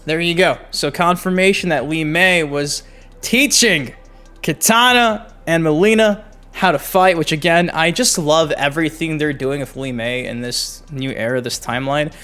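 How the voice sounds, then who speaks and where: A man's voice: on the high side, moderate pace, a bit bright, clear, resonant tone, faint music behind. A man hosting a gaming video, talking through game news in a casual, slangy, enthusiastic fan style.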